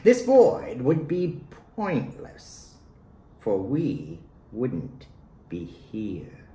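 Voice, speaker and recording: Slow, theatrical man reciting poetry. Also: medium pitch, huge pitch swings, dark tone. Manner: theatrical, deliberate phrasing with pauses. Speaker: poet reading own work. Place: at home, roomy indoor space. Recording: roomy echo, faint background noise.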